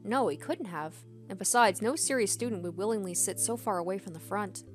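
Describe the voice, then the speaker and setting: A woman narrating a visual novel aloud as a let's player, expressive, with casual commentary. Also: medium pitch, a little fast. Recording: background music under the voice. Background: game music.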